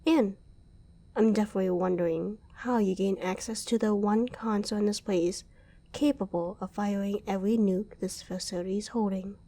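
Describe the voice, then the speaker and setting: A woman playing a cool, taunting secret agent in an ASMR roleplay, speaking with controlled, teasing menace and deliberate pauses. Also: medium pitch, steady tone, dark tone, close to an everyday voice. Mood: calm, taunting.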